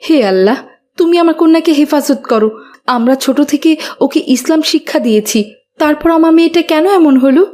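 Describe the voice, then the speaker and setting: A woman voicing a caring, devout mother who gently admonishes in a moral cartoon. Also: high voice, fairly quick, lightly acted. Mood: worried, prayerful. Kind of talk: Bengali moral cartoon voice acting.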